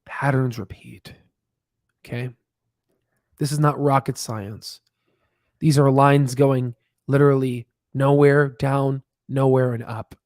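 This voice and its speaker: A man in his thirties hosting a livestream on stock analysis, slightly hoarse, animated and emphatic, repeating points, speaking close into a boom mic in a home studio. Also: slow, pauses often, no echo. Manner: animated, emphatic, repeats phrases, drops to whispers. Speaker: stock channel host. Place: home studio room, indoors. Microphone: podcast mic on boom, close.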